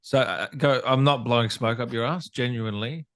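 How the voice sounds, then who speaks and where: A man's voice: mid-range voice, normal speed, fairly bright tone. Man hosting a casual interview. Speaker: interview host.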